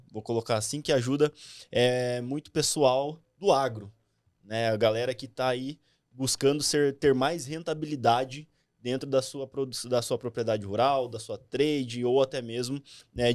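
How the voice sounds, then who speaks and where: A man hosting a casual podcast interview, relaxed and chatty, often ending phrases with a questioning "right?". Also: mid-range voice, moderate pace. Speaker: podcast host.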